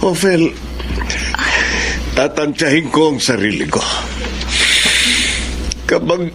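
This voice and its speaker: A man's voice: a little high, very animated, slow pace, noisy background, heavily acted. Ailing, brooding father. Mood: worried, resolute. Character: stubborn, ailing father, brooding and worried about his daughter. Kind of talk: Filipino radio drama acting.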